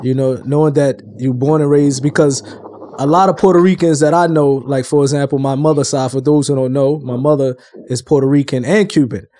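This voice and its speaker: A man's voice: mid-range voice, fairly even intonation, fairly quick, mellow. A man co-hosting a casual interview podcast, talking in an informal, slangy way.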